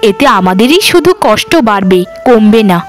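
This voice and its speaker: A woman's voice: medium pitch, lively intonation, normal speed, faint music behind, natural voice. A woman voicing a poor, patient village mother in a cartoon, earnest, caring and plaintive. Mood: sad, resigned. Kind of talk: Bengali cartoon dubbing.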